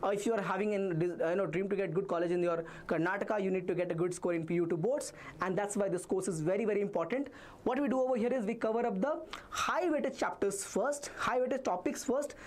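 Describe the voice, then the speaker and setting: Chatty Indian maths teacher, live class. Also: male voice, high voice, fast. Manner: chatty, reassuring, reads student comments aloud. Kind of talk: live online revision class.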